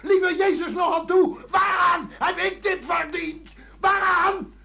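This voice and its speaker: A man's voice: very high voice, fairly even intonation, slow, vocal fry, bright, forceful tone, shouting, a faint crowd, exaggerated voice. An actor playing a foul-tempered, tyrannical father who rants and curses accusingly in a radio play. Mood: shouting rage. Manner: ranting, cursing, accusing, repeating himself. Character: foul-mouthed, raging, tyrannical French father. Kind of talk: radio drama acting. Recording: dull 1980 radio play recording.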